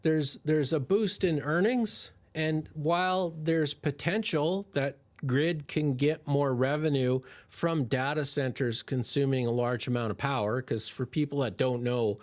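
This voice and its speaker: Excitable man, voice going a little hoarse. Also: a little high, measured pace, few pauses. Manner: excitable, chatty, rambling with restarts. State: slightly hoarse, losing his voice. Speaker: stock investing channel host. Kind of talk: livestream Q&A on stocks.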